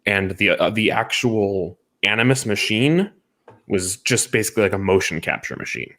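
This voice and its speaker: A man's voice: fairly low pitch, animated, normal speed, a bit bright. A man co-hosting a casual film discussion, giving emphatic opinions and repeating points for stress. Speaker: podcast co-host reviewing films.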